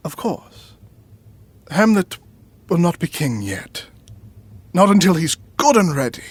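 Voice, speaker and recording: An actor playing a middle-aged, ambitious royal brother and devoted lover in an audio drama, husky and formal, with measured, pompous phrasing. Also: male voice, sits high, big pitch swings, no echo, exaggerated voice. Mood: feigned reluctance.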